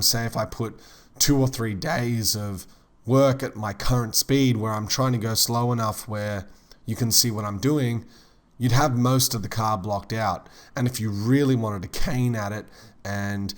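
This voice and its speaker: A man teaching 3D modeling in a relaxed, rambling tutorial voice-over, slightly hoarse as he loses his voice. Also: mid-range voice. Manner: relaxed, rambling, many fillers like "kind of". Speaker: 3D modeling instructor. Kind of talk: casual tutorial narration.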